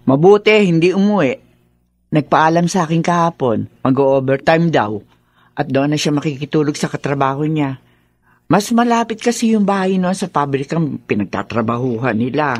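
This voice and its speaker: A woman's voice: low-pitched, lively intonation, moderate pace, darkish tone, no room sound. A woman with a Filipino accent playing a nagging but caring middle-aged working-class mother in a radio drama.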